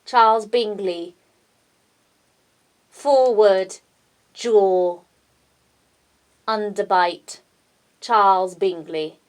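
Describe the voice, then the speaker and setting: A woman voicing an amiable young British gentleman for an audiobook, placed forward with an underbite. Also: medium pitch, extremely slow, pauses often. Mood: steady.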